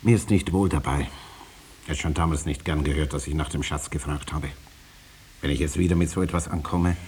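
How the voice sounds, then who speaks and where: Deep-voiced grasping farmer. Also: male voice, steady tone, a little fast, darkish tone. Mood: uneasy. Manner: plain-spoken, hesitant, defensive. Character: stubborn, money-hungry farmer, uneasy and evasive. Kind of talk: 1950s German radio play acting. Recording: noisy vintage 1950s radio recording.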